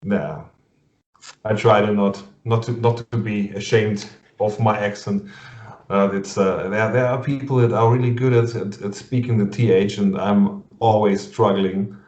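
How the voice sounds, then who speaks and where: A man with a German accent interviewing a guest over a video call in English, halting and full of fillers and restarts, laughing often. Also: lowish voice, fairly even intonation, darkish tone. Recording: muffled lo-fi sound in an echoey room.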